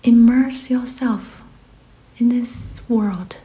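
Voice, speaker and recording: Slow, soft-spoken woman guiding meditation. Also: fairly high pitch. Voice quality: soft, breathy, often near-whispered. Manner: gentle, soothing, pausing between short phrases. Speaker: meditation guide. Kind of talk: guided meditation, scripted.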